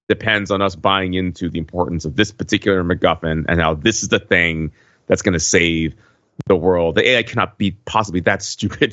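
A man's voice: fairly low pitch, a bit bright, dry recording, highs cut off. A man in a casual film discussion, talking in an emphatic, nitpicking way as a podcast panelist.

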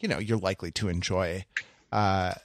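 A man's voice: sits low, fairly even intonation, moderate pace, no echo, clean studio sound. A man co-hosting a casual podcast, explaining things in a musing, hesitant way. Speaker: podcast co-host.

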